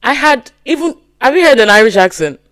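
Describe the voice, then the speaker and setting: Animated woman co-hosting a chat podcast. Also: on the high side, brisk. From Nigeria. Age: young adult. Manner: animated, emphatic storytelling. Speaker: podcast co-host. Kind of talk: casual chat.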